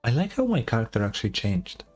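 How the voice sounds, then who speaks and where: A man's voice: medium pitch, wildly swooping pitch, fast talker. A man giving casual, blunt, sweary reaction commentary as a game streamer.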